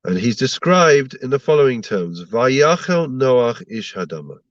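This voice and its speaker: A man's voice: medium pitch, sing-song, measured pace, dry recording, muffled recording. A man giving an online Torah lesson to viewers, sounding stuffed up from a slight cold and teaching through rhetorical questions. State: blocked up with a cold. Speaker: rabbi giving a Torah class.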